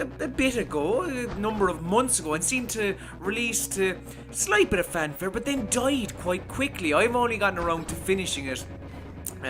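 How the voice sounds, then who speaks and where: A man giving a casual, rambling game review, with frequent restarts. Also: high voice. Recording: music playing behind.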